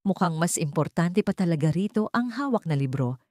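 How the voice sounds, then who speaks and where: A woman's voice: fairly low pitch, animated, normal speed, mellow, no room sound, clean studio sound. A woman narrating a scripted dramatic story as an audiobook narrator.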